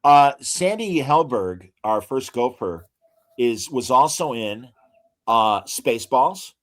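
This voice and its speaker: A man's voice: medium pitch, expressive, a bit bright. Man hosting with showman asides. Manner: reads out actor credits with frequent "uh" pauses and showman asides. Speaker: podcast co-host. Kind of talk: casual podcast chat.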